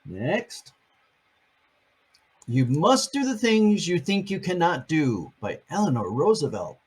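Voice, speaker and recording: A man's voice: fairly high pitch, big pitch swings, takes it slowly, slightly rough. An older man reading a picture book aloud to his grandchildren, adding chatty, playful asides between pages.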